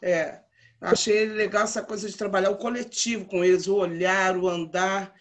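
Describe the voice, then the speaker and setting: A woman's voice: medium pitch, steady tone, normal speed. Emphatic woman drama teacher in her fifties. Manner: emphatic, repeats points. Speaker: drama teacher for young people. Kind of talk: class discussion.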